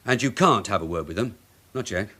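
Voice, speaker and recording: A dogged British police sergeant in a radio crime drama. His voice sits low and moves widely in pitch, with a blunt, sardonic, confrontational edge. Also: lively intonation, fairly quick, pushed and bright. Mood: firm, formal.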